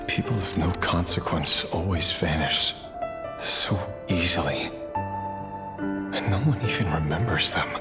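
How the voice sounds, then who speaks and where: An actor playing a detached, deadpan secret-agent assassin in a video game, with music behind him. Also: male voice, sits low, takes it slowly, long pauses. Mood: detached, musing. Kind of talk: scripted video game voice acting. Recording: game dialogue under loud music.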